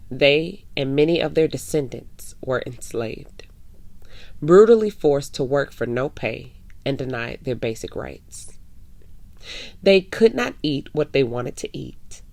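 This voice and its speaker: A woman hosting a children's story time, reading a picture book aloud in a measured way with drawn-out emphasis. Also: deep voice, gravelly, a little mellow, dry recording. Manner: addresses young listeners, measured with drawn-out emphasis.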